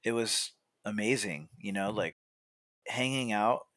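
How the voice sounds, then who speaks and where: Youngish american guy, lowish voice, chatty podcast storytelling, very dry close sound. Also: measured pace, fairly bright tone, no echo. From Monrovia, California. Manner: chatty, peppers talk with "like" and "you know". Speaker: podcast guest, coffee roaster. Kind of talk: casual conversational storytelling.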